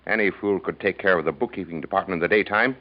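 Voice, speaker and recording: A man's voice: deep voice, fast, lightly acted. An American man playing an arrogant, grasping middle-aged company boss in a 1940s radio drama, brusque, impatient and contemptuous. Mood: irritated. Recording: dull vintage radio recording.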